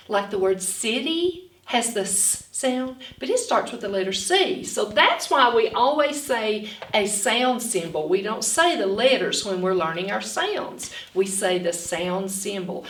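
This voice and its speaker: A woman reading and teaching phonics to young children in an expressive, instructional way, sounding out words. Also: mid-range voice. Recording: roomy echo, distant from mic.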